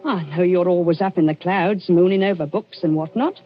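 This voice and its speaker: An actress playing a fussing, gossipy but deferential housekeeper in a 1940s radio drama. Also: female voice, lowish voice, a little fast, close to an everyday voice. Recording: dull vintage 1940s radio recording, music.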